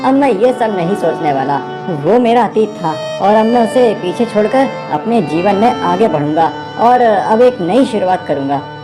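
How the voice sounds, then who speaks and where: A woman's voice: medium pitch, animated, unhurried, music in the background, natural voice. Woman acting an earnest, meek younger brother. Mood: earnest, grateful. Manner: pleading, earnest. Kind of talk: animated moral story voice acting.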